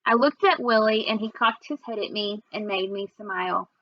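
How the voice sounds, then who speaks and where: A woman's voice: medium pitch, normal speed, no room sound. A woman reading a children's book aloud as narrator, with a slightly muffled sound. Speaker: read-aloud reader.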